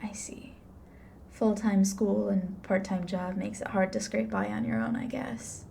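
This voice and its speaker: Blunt, low-key female grim reaper, played by a woman. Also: steady tone, unhurried, vocal fry, dark tone, slight background noise. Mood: curious, tactless. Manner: blunt, offhand, dry. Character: blunt, flippant female grim reaper, unsentimental but curious. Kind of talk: ASMR roleplay acting.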